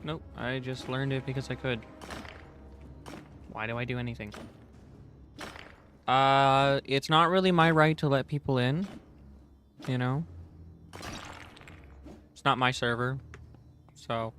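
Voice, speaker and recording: A man chats casually as he streams a game. His talk rambles along in slangy, loose sentences. The mid-pitched voice sits in clearly audible background noise with small clicks. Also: fairly even intonation, takes it slowly, pauses often, a bit bright. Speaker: game livestreamer. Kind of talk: casual gaming stream chat.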